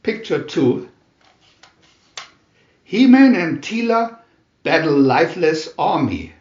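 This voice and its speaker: A man reading a storybook aloud as dramatic narration, in a theatrical, deliberate manner with emphatic announcements. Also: fairly high pitch, sing-song, darkish tone, roomy sound, muffled recording. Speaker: reader of picture-reel story booklets.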